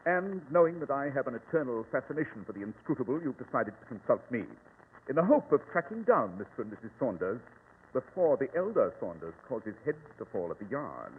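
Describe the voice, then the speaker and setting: A man's voice: medium pitch, big pitch swings, brisk, slight background noise, lightly acted. Guy doing posh english detective, haughty and precise, very swoopy voice, tinny old radio play w/ long reverb. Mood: assured. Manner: precise, pompous, theatrical delivery. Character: brilliant, haughty English gentleman detective, crisp and commanding. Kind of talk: old-time radio drama acting.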